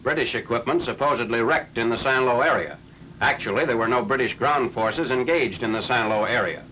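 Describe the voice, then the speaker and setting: A man's voice: medium pitch, fairly quick, sharp tone. Noisy 1940s American newsreel man. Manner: formal, clipped, matter-of-fact. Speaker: newsreel narrator. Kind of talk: 1940s military newsreel narration, scripted. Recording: noisy 1940s archival film soundtrack.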